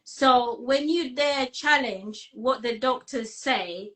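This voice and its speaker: High-voiced emphatic woman, a bit distant. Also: takes it slowly, a bit bright. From Uganda, brought up abroad. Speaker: guest panellist who works with clients. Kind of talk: conversational personal sharing. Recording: voice distant from the mic.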